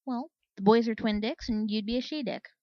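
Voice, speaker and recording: A woman's voice: on the high side, fairly quick, no room sound, lo-fi sound, lightly acted. A woman voicing a brash, breezy Pegasus soldier mare in a storybook, blunt and unbothered. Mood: placating, breezy.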